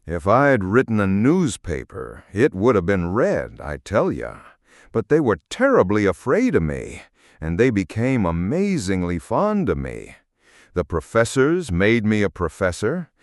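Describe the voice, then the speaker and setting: A man voicing a living shadow turned wealthy gentleman in a storybook, self-important, boastful and condescending. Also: fairly low pitch, wildly swooping pitch, measured pace, dry recording, clean studio sound, exaggerated voice. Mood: smug, boastful.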